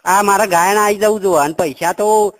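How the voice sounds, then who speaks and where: A man's voice: sits high, steady tone, normal speed. A man with a rural Gujarati accent making a prank phone call as a customer, talking in a rustic, rambling, naively pushy way. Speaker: customer calling a helpline. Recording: noisy phone-call recording with clicks.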